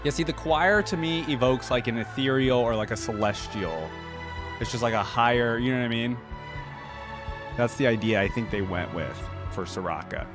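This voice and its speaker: A man giving casual reaction commentary as a host, speaking informally and thinking aloud. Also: fairly low pitch.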